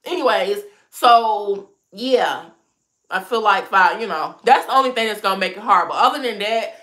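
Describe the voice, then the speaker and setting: A woman's voice: medium pitch, lively intonation, moderate pace, a bit bright. A woman in her thirties, stuffy from a cold, chatting frankly with viewers about dating and often laughing. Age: 30s. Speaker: lifestyle vlogger. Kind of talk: casual chat answering viewer comments.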